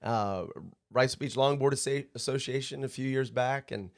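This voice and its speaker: An upbeat man hosts a relaxed surf podcast from a studio. He is chatty and laughs often, and his voice sits at an ordinary middle pitch. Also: moderate pace, gravelly. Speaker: podcast host. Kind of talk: casual surf podcast interview.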